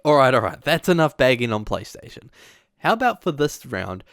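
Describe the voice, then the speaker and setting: A man's voice: medium pitch, big pitch swings, normal speed, fairly bright tone, no room sound, studio quality. A man giving scripted, opinionated commentary in a conversational, emphatic way. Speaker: YouTube video essayist, host. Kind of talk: scripted commentary on video game movies.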